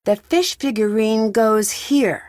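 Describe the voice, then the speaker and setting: A woman's voice: on the high side, slow pace, darkish tone, no room sound, clean studio sound. An actress playing a curious, upbeat young woman detective in an adventure game, thinking aloud in short scripted remarks. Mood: thoughtful.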